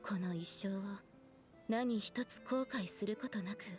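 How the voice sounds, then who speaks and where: An actress playing a solemn, gentle elder sister in a fantasy game, speaking in a measured, grave way. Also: female voice, medium pitch, soft background music, natural voice. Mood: calm, reflective. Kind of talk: Japanese game voice acting.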